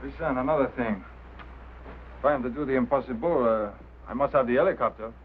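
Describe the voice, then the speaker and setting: Over a thin, hissy vintage film track comes a man acting a French Canadian road crew foreman. He is stubborn and put-upon, phrasing things haltingly at an ordinary male pitch. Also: phone-quality sound, natural voice. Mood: insistent. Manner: halting, non-native phrasing. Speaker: actor. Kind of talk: mid-century screen drama acting. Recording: thin, noisy old film soundtrack.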